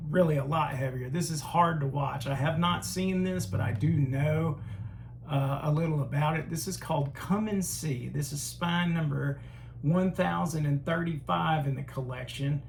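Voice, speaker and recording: Casting: man hosting a film review channel, unhurried and halting delivery, gravelly edge, faint hum behind. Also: fairly high pitch. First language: English. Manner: slow, halting, frequent uh fillers. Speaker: host. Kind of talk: casual film collection review.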